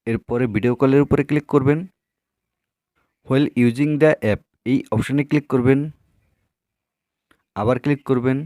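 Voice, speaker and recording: A man's voice: mid-range voice, steady tone, unhurried, pauses often, a little mellow, no room sound, clean studio sound. A man hosting a tech tutorial, addressing viewers in an instructional, scripted way with repeated step-by-step phrasing. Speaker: tech tutorial video host.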